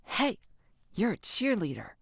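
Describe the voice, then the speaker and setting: A woman's voice: fairly low pitch, big pitch swings, moderate pace, no room sound, studio quality. A woman voicing a wry, teasing teenage girl who speaks in sardonic, murmured asides in a story. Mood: teasing, curious.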